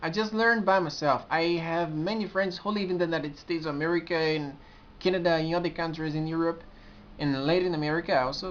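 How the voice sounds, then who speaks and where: A man's voice: on the high side, fairly bright tone, slight background noise. Earnest young Brazilian man, lo-fi audio. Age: 20s. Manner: earnest, halting, with frequent restarts. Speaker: community administrator. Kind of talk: casual vlog to camera. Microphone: built-in camera mic. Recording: dull lo-fi camera audio.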